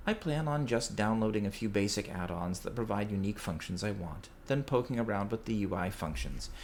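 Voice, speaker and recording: A man with a fairly low voice delivers a prepared report for a podcast. He is measured and orderly, reading as if from a script, with some background noise on the recording. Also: a little mellow. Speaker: listener sending in a recorded report.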